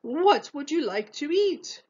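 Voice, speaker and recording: A woman voicing a fussy, flustered male headwaiter in a children's storybook, bossy and exclaiming in drawn-out words. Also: high-pitched, sing-song, a little mellow, highs cut off, pitched above the performer's own voice, exaggerated voice.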